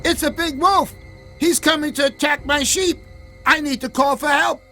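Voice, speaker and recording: A man's voice: very high voice, fairly even intonation, moderate pace, pushed and bright, faint music behind, pitched above the performer's own voice, full character voice. A man voicing a mischievous, emotional shepherd boy in an exaggerated storybook style. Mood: panicked screaming. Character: mischievous shepherd boy, giggly, whiny, panicky, later remorseful.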